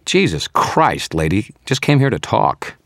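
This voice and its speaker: A stressed, sardonic male wizard played by a male audiobook reader pleads urgently and emphatically. His voice is husky and low-set even when raised, recorded dry and close. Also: normal speed, no echo, heavily acted. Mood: alarmed.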